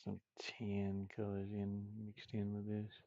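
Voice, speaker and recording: A man's voice: fairly low pitch, completely flat delivery, takes it slowly, dark tone, no room sound, highs cut off. A man talking casually to himself as he draws, absent-minded, with playful sing-song asides. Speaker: game artist streaming a drawing session. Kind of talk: casual muttering while drawing.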